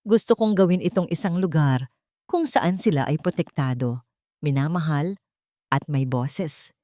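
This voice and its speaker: A woman voicing a gentle, resolute elderly grandmother in an audio story, earnest and heartfelt. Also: sits low, a little slow, no room sound, clean studio sound. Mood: hopeful, resolute.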